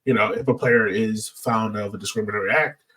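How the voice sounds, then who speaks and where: A man's voice: on the low side, fairly even intonation, brisk, a bit bright, far from the mic. A man hosting a casual podcast discussion in a conversational way, often leaning on "like" and "you know". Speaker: podcast host.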